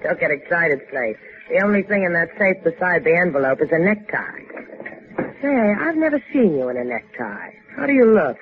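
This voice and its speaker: A woman's voice: lowish voice, sing-song, normal speed. An actress playing a cool, tough, sardonic female sidekick in a 1950s radio adventure. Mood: wry banter. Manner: dry, sardonic. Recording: tinny band-limited 1950s radio recording with music.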